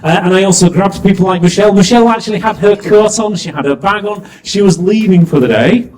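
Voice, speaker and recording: A man's voice: high voice, fast talker, darkish tone. A middle-aged man with a Yorkshire accent compering a live event, chatty and jokey, asking the crowd questions. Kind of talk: live stage compering to an audience. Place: event stage before a live audience.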